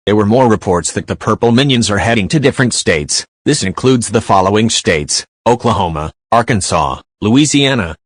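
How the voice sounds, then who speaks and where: A man's voice: fairly low pitch, a bit bright, dry recording. A man playing a serious local TV news reporter, reading a scripted breaking report in a formal manner.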